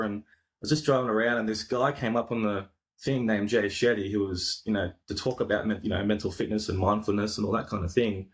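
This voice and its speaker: An Australian man, a podcaster and coach, talking in a casual, rambling way with lots of fillers and restarts over a video call. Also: mid-range voice. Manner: laid-back, rambling with frequent fillers and restarts. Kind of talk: casual interview chat.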